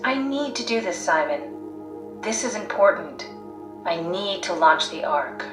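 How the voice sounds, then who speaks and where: A woman's voice: lowish voice, animated, measured pace. A woman playing a pragmatic, driven, blunt scientist in a video game, analytical, matter-of-fact and persuasive. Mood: determined. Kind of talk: video game voice acting, naturalistic dialogue. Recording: echoey sound. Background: faint music.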